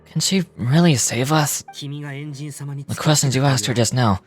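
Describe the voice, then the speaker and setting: A man voicing a perceptive, doubting young tribesman in a video game, speaking in a grave, measured and earnest way. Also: medium pitch, faint music behind. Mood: earnest, uneasy. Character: perceptive, doubting young tribesman turned reluctant fugitive ally. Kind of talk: scripted video game dialogue.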